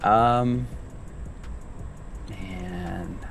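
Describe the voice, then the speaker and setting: A man's voice: deep voice, extremely slow, gravelly, very noisy. A man chatting casually as a guest on gaming commentary, hesitant and thinking aloud. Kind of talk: casual unscripted gaming chat.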